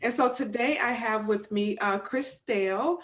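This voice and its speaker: A woman's voice: on the high side, unhurried. A woman hosting a career podcast, upbeat and encouraging as she introduces and questions her guest. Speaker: podcast host. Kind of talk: podcast interview.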